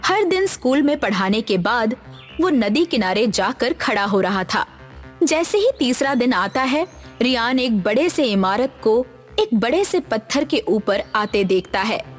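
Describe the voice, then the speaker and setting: Female storyteller for children's cartoon tale: highish voice, scripted delivery, faint music underneath. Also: lively intonation, a little slow. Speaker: story narrator.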